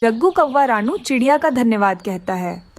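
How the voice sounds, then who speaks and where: A woman's voice: on the high side, animated, moderate pace, no echo. A woman narrating a scripted children's story.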